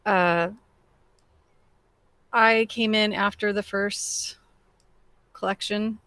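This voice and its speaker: A woman band member answering interview questions in a halting way, with many fillers. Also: mid-range voice, steady tone, smooth, no room sound. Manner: warm, halting, full of fillers.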